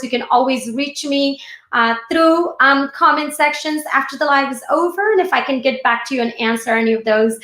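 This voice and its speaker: A woman's voice: sits high, bright, forceful tone, roomy sound. A woman from India who moved to the United States, giving an informal motivational talk in a chatty way, speaking directly to livestream viewers. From born and raised in India, moved to the United States at fifteen. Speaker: speaker hosting a live online session.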